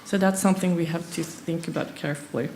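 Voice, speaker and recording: A woman's voice: fairly low pitch, steady tone, a little mellow, a little hiss or hum. A woman from Sweden, speaking English as a second language, giving a conference presentation with many hesitations and restarts. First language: not English. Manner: halting, many fillers and restarts.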